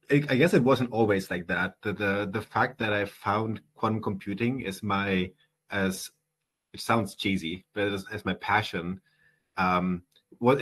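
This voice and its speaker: A chatty young man with a German accent talks over a headset on a video call, his voice a little low and slightly husky. He speaks in casual interview answers and keeps restarting his sentences with fillers. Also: a bit bright, low-quality recording. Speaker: guest.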